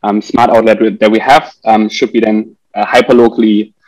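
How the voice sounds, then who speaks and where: A man's voice: fairly low pitch, flat delivery, moderate pace, darkish tone, rough recording. Hesitant male startup executive on a call. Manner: hesitant, broken into short phrases. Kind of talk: explaining a business on a panel. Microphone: video call.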